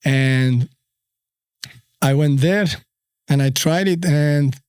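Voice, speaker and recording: A man in his thirties from Albania with an Albanian accent, a podcast guest telling his story in a halting, easygoing way with many fillers. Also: a little high, darkish tone, clean tone, no room sound, clean studio sound. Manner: unhurried, halting, frequent fillers. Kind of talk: casual storytelling interview.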